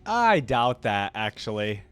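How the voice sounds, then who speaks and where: A man's voice: lowish voice, very animated, slow pace, a bit bright. A man hosting a reaction video, commenting casually and slangily as he muses aloud into a close microphone. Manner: informal, slangy, musing aloud.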